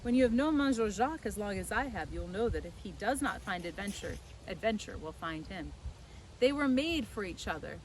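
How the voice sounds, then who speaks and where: A woman voicing a dry-humoured, assured English gentleman painter in a storybook, speaking reassuringly. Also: mid-range voice, animated, a little fast, a little hiss or hum, natural voice, rough recording. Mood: wry reassurance.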